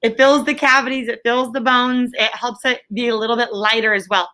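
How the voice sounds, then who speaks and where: Bright-voiced woman teacher quizzing a class. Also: fairly high pitch, steady tone, a little fast. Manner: prompting, often repeats questions and tags with "right?". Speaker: elementary school teacher. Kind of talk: classroom question-and-answer teaching. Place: classroom with pupils.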